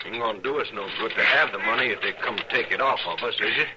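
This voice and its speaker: An actor playing a bossy drawling outlaw. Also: male voice, fairly low pitch, fast talker, lightly acted. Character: scheming male outlaw burying stolen gold. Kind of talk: 1950s radio drama acting. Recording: loud hiss of old radio transcription.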